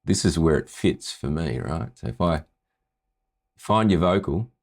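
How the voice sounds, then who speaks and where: A very deep-voiced man teaches music in a loose, chatty way. He talks through each step of a screen-share tutorial and keeps checking in with the viewer. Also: measured pace, vocal fry. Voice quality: low voice. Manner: chatty, relaxed, often checks in with "right?".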